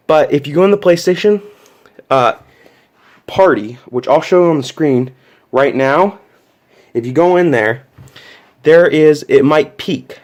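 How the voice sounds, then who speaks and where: A man's voice: fairly high pitch, a little mellow. A man giving a casual, unscripted hands-on tech tutorial, explaining step by step and often repeating himself. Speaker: tutorial presenter.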